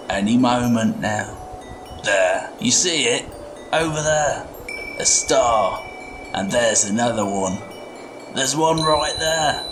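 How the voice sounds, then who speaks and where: A man voicing a chatty, folksy goblin tinkerer who guides the listener through a playful fantasy bedtime story. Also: fairly low pitch. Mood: hushed wonder. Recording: music behind the voice. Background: music.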